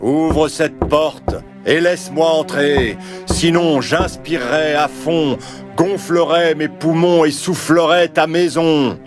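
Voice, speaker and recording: A man voicing a hungry, menacing big bad wolf in a children's cartoon fairy tale, with a drawn-out threatening delivery. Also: a little high, steady tone. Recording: loud music behind the voice.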